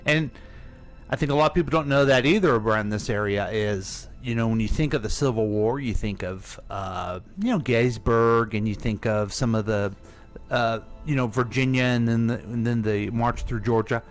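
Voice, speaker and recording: A man's voice: mid-range voice, moderate pace, soft background music. Folksy male radio host. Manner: folksy, addresses listeners as folks. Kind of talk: casual radio chat.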